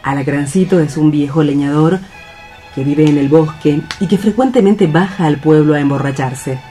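A man's voice: fairly high pitch, moderate pace, mellow. A man reading scripted scene narration for a radio play. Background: music.

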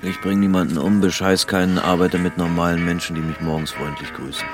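Actor as a low-voiced, weary private detective. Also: male voice, level tone, brisk, over music, lightly acted. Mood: weary, dry. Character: weary, withdrawn male private detective of thirty-five, laconic. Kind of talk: German radio drama acting.

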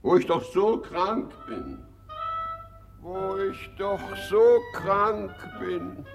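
A man's voice: sits high, very slow, vocal fry, music in the background, heavily acted. An actor playing a fussy, self-pitying male hypochondriac in a radio comedy, whining, moaning and flaring into indignation. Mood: whining, suffering. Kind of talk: German radio play comedy acting.

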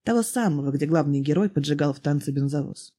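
Storybook narrator, mid-pitched, dark mellow tone with some huskiness. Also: lively intonation, fast, husky. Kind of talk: scripted narration of fan fiction audiobook.

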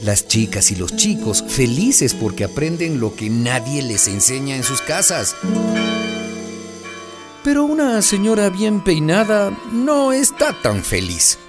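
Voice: medium pitch, wildly swooping pitch, a little slow. A performer playing a wry, folksy storyteller, narrating a radio drama in a playful manner. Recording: music behind the narration.

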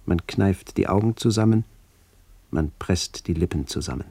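A man playing an older narrator who recalls his village childhood in a radio play, measured and reflective, with a gravelly voice. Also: low voice, animated, dark tone, no echo, full character voice. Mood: somber, remembering. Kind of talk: literary first-person radio play narration.

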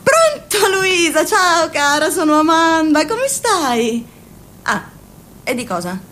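Amanda, a fussy middle-aged mother and saleswoman, played by a woman in a radio play. Her high voice swings widely as she chatters with forced cheer, and a faint hiss sits behind her. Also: sing-song, slow, a bit bright, full character voice. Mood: phone chatter. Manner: chatty, fussing, falsely cheerful on the phone. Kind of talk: radio drama acting.

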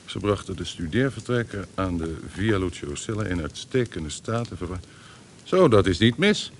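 Pompous rich gentleman, lively swooping pitch. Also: moderate pace, slight background noise. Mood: formal, appraising. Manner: pompous, self-important. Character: wealthy boastful gentleman showing off his country estate. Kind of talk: Dutch radio play acting.

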